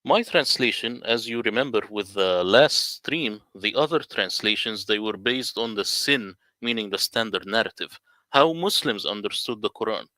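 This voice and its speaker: A man's voice: lowish voice, animated, normal speed, bright, forceful tone, no room sound. A man from the Middle East whose first language is Arabic, earnestly telling his story in casual livestream talk. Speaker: guest translator.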